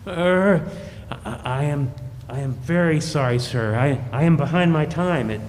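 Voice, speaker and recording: An actor playing a timid, apologetic clerk in a radio drama, stammering and halting as he speaks. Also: on the high side, darkish tone, close to an everyday voice. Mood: apologetic, nervous. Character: timid, apologetic male clerk, humble and flustered. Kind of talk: 1940s radio play acting. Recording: echoey hall with faint noise.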